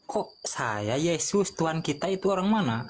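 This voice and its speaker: A man's voice: fairly high pitch, sing-song, moderate pace. Persistent man repeating his question. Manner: persistent, repeats one question, laughs mockingly. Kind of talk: heated online debate.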